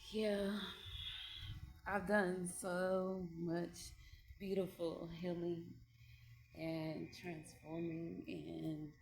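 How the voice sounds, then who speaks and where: A woman in her late twenties reflects aloud at home in a low voice, drifting from thought to thought and repeating herself. The room is echoey, with a faint hum of ambience behind her. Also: creaky, darkish tone. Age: 20s. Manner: meandering, repeats phrases, laughs between thoughts. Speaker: vlogger. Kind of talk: reflective casual monologue.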